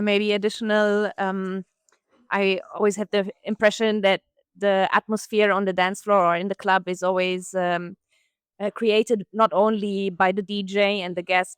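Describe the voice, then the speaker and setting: Halting woman. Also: mid-range voice, smooth, no echo, studio quality. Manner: halting, searching for words. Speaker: sustainability advocate, panel guest. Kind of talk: panel discussion.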